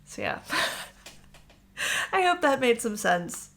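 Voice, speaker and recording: A woman's voice: a little high, wildly swooping pitch, takes it slowly, gravelly, fairly bright tone. A young woman hosting her own podcast, chatting casually and rambling in an upbeat way. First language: English. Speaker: podcast host. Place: warm apartment room.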